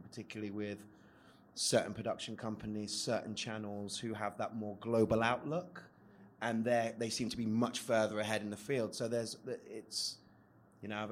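A man with a fairly low voice speaks earnestly on a live panel. He talks at length with many fillers, and a faint hum sits behind him. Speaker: panellist writer. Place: event venue, live audience.

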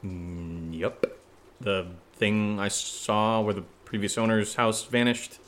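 A man's voice: lowish voice, flat delivery, slow pace, fairly bright tone, a little hiss or hum. An actor playing a nervous young man who rambles and stammers through a spooky story in a horror audio drama. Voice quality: hesitant, stammering, rambling with many fillers.

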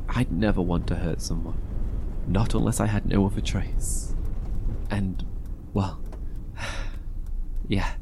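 Emotional survivor over rumbling noise. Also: male voice, steady tone. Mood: remorseful. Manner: halting, sighing, emotional. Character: remorseful, emotional young man reunited with lost brother. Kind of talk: horror audio drama acting.

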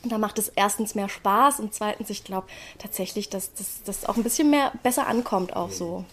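Female stage performer, interview chat, medium pitch, candid informal phrasing that keeps checking for agreement. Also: moderate pace, a bit bright. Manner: candid, slangy, often seeks agreement with tag questions. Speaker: stage actress being interviewed.

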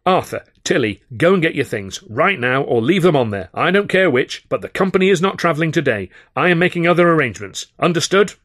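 A man's voice: on the high side, very animated, fairly quick, hardly any pauses, fairly bright tone, voice pushed up. A man voicing a brusque, commanding theatrical manager who barks orders emphatically in an audiobook. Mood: commanding, angry.

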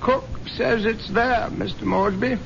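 A man's voice: sits high, measured pace, creaky, a bit bright, exaggerated voice. An actor playing a timid, deferential male servant in a radio drama, speaking with formal, hesitant politeness. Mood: timidly deferential. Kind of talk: 1970s radio drama acting. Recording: dull lo-fi radio recording, faint noise.

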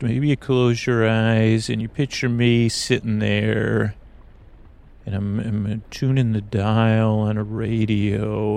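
A man hosting a sleep podcast in a creaky voice, hesitant and meandering as he introduces things and corrects himself. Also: lowish voice, darkish tone. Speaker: podcast host.

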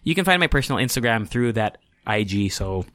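A man's voice: medium pitch, very animated, a little fast, a bit bright, no echo. A young man with a Filipino accent hosting his own podcast, talking in a rambling, chatty, self-deprecating way and dropping in Tagalog words. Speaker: podcast host. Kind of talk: casual solo podcast monologue.

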